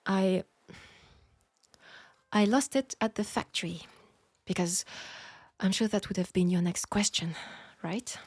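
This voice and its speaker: A woman with a French accent playing a proud, wry young Parisian seamstress in a scripted audio roleplay, speaking in a measured, pointed way. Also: medium pitch, darkish tone, husky, dry recording. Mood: somber. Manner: measured, pointed, sardonic, with sighs.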